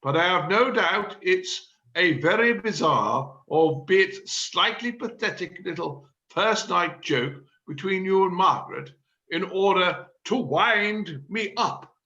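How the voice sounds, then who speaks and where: A man's voice: high voice, animated, slow pace, sharp tone, highs cut off, full character voice. A man playing an exasperated, theatrical amateur stage director in a read-through of a comedy play. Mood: forced calm.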